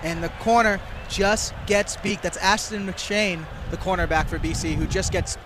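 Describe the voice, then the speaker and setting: A man's voice: high-pitched, fairly even intonation, normal speed, background noise. A young man working as a student radio color commentator, giving analysis of football plays. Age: young adult.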